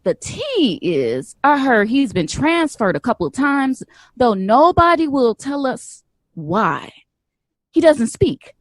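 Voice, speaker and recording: Sassy modern-day nurse, high-pitched, animated, unhurried, playful banter. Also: female voice, dry recording, full character voice. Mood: gossipy. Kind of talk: naturalistic audio drama acting.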